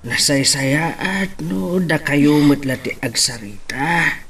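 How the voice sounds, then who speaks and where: An actor playing a gentle elderly grandfather in an Ilocano radio drama, speaking haltingly with an Ilocano accent. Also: a little high, animated, full character voice. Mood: hesitant. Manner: halting, hesitant.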